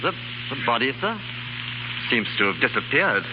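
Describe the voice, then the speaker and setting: A man's voice: medium pitch, sing-song, moderate pace, lightly acted. An actor playing an obedient henchman giving clipped, respectful replies in a noisy 1930s radio drama. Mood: deferential. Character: deferential, obedient henchman of a villain. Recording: noisy, muffled 1930s radio broadcast recording.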